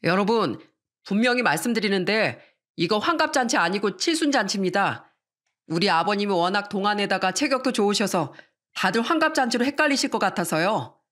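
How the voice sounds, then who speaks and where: A woman's voice: mid-range voice, expressive, brisk, fairly bright tone. A woman voicing a sensitive, devoted adult son-in-law in a story, speaking politely and effusively. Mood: proud, effusive. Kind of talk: scripted story dialogue.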